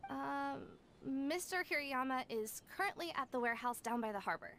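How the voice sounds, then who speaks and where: A woman's voice: high voice, lively intonation, moderate pace, fairly bright tone, slight background noise. A woman playing a worried but polite young female publishing employee in a video game. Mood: polite, reassuring. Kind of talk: video game cutscene dialogue.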